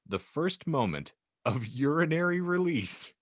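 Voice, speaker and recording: A man's voice: on the high side, sing-song, a little slow, no echo, clean studio sound. A man hosting a casual comedy podcast with mock-grandiose, wordy phrasing. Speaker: podcast host.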